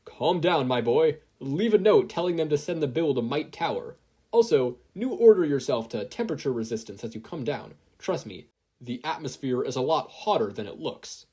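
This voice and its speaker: A performer as a booming, husky hero mentor. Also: animated, mellow. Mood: reassuring. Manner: emphatic, drawn-out instructions. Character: booming, earnest older male hero mentor.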